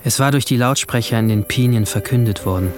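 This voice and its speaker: A man playing a brooding, alienated seventeen-year-old who narrates his guilt in a hushed, introspective radio drama style. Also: mid-range voice, fairly quick, music in the background, natural voice. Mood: tense, detached. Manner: hushed, introspective, at times whispering.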